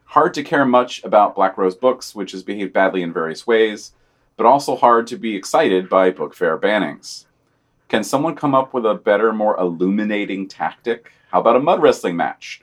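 Male podcast co-host, slightly low pitch, wry delivery of scripted news commentary. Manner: wry, sardonic.

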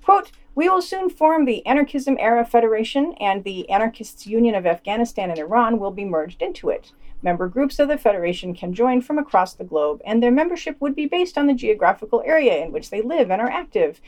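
A woman's voice: on the high side, lively intonation, a little fast, darkish tone. A woman hosting a podcast, reading scripted news items and quotations with dry, sardonic commentary.

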